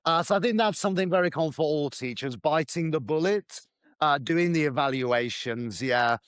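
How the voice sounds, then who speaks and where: A man's voice: a little high, normal speed, fairly bright tone, clear, resonant tone, no room sound, studio quality. A man co-hosting a casual podcast about teaching, chatty, often searching for words and laughing.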